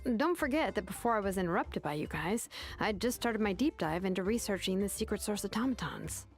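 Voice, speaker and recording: A woman's voice: medium pitch, animated, a little fast, soft background music, natural voice. A woman voicing a wry, nerdy automaton researcher in a video game who speaks sarcastically. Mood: brisk. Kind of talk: video game cutscene voice acting.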